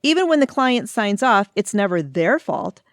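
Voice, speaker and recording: A woman's voice: medium pitch, very animated, normal speed, no echo, clean studio sound, close to an everyday voice. A woman playing a scornful, exasperated older office colleague in a comedy audio drama, delivering sarcastic insults. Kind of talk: community audio theatre comedy acting.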